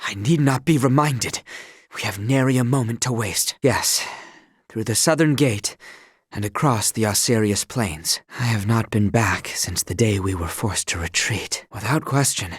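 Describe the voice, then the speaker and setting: A man's voice: lowish voice, a little slow, husky, dry recording, studio quality. A man voicing an earnest, dutiful young royal knight in a video game, with courtly, precise, old-fashioned diction. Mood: earnest, composed. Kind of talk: video game character voice lines.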